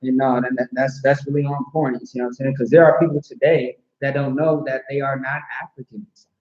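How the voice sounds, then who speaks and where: A man's voice: medium pitch, little pitch movement, brisk, a little mellow. A man teaching a scripture class in an emphatic, repetitive way, often checking that listeners follow. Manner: emphatic, repetitive, asks "see what I'm saying". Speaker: class instructor. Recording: roomy, dull recording.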